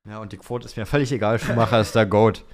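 A man's voice: on the low side, flat delivery, fast. A man co-hosting a podcast in casual, opinionated and teasing conversation, mixing in English words. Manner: mixes English words into German. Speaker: podcast co-host. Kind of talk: casual chat about motor racing.

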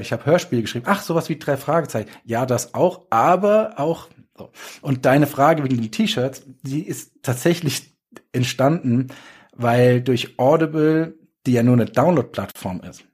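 A man's voice: mid-range voice, a little mellow, breathy edge. A man chatting casually as a podcast guest, explaining his work as a writer with examples. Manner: explains with examples and acted-out quotes. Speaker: guest, audio drama writer.

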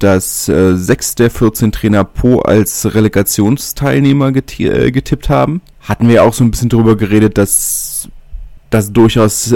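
A man hosts his own podcast alone, talking in a loose, conversational way and often pausing with fillers. His voice sits a little low. The sound is dry and close, with no room around it. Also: darkish tone, no room sound. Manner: casual, thinking aloud with many fillers. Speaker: podcast host. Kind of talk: solo podcast monologue.

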